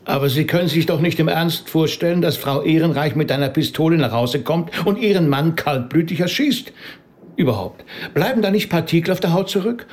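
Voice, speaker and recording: A man plays a rabbi in a radio drama. The voice sits slightly high for a man, and the delivery is natural, earnest and persistently questioning. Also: animated, fairly quick, close to an everyday voice. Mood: indignant.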